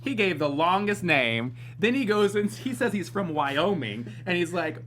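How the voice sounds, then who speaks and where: A man's voice: high-pitched, very animated, moderate pace, fairly bright tone, a little hiss or hum. A man co-hosting a TV recap show, retelling acts at length with lots of fillers. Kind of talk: casual panel chat.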